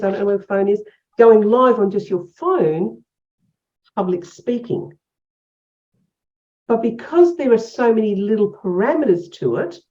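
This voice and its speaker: A woman with an Australian accent leading an online workshop as a coach, energetic and encouraging, asking many questions. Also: mid-range voice, muffled recording. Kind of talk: interactive online workshop teaching. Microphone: video call.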